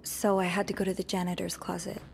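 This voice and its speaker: A young hotel maid in a narrative video game, a woman. She is earnest but nervous, and her delivery is halting and natural. A faint ambient noise sits behind the voice. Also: lowish voice, flat delivery, gravelly. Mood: nervous, guarded. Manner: hesitant, halting.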